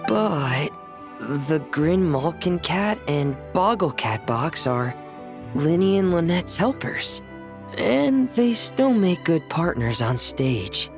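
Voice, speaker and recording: Deadpan young game girl, reserved, fairly low voice, slow delivery, music underneath. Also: exaggerated voice. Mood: calm, reserved. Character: reserved, deadpan young female magician's assistant. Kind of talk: video game voice acting.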